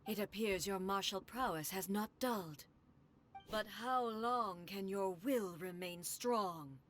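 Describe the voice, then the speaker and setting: A woman voicing a stern, regal goddess ruler in a video game, solemn, measured and imperious. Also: mid-range voice, noisy background, natural voice. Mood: resolute. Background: loud game music.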